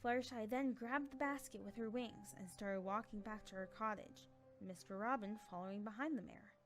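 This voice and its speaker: Female narrator, mid-pitched, scripted storytelling with soft background music. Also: moderate pace.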